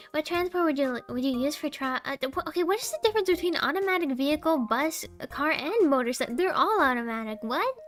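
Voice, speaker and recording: A young woman with a tiny, sweet, high voice chats on her stream. She rambles, trails off and laughs at her own deadpan jokes. Soft music plays faintly behind her. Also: brisk, hardly any pauses. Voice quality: small sweet voice. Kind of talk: casual live-stream chat.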